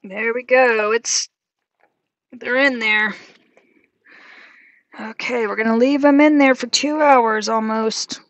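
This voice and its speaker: A woman's voice: fairly high pitch, fairly even intonation, slow pace, long pauses, a little hiss or hum. A woman talking casually through a cooking demonstration in a small van kitchen, narrating each step as she works. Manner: chatty, talks herself through each step. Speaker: van life vlogger.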